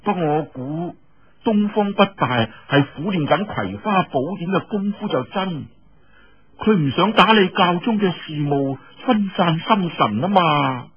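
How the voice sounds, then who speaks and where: A man voicing a proud, scornful young woman in a story, with a husky voice and indignant, drawn-out phrasing. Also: high-pitched, animated, pitched above the performer's own voice, exaggerated voice. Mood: thoughtful. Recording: muffled, dull lo-fi audio.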